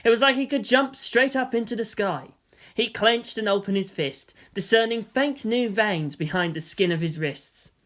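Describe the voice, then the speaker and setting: Bright, forceful dramatic story narrator. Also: mid-range voice, moderate pace.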